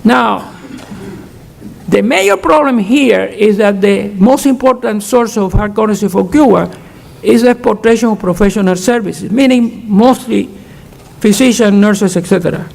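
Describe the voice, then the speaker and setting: Older male panelist, raspy-gravelly and emphatic, with long hall reverb. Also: high-pitched, normal speed, a little mellow. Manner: emphatic, frank, repeats words when restarting. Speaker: conference panelist, economist. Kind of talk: answering audience questions at an academic panel. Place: conference hall.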